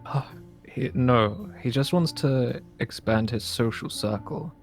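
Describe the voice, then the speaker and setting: A man playing a dry, skeptical demigod camper in improvised Minecraft roleplay, speaking in a hesitant, deadpan way. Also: mid-range voice, takes it slowly, faint music behind.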